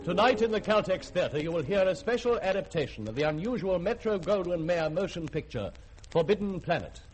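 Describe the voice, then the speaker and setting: A man announcing a radio theatre in a formal, declamatory 1950s scripted style, with a slightly husky voice. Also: on the high side, rough recording.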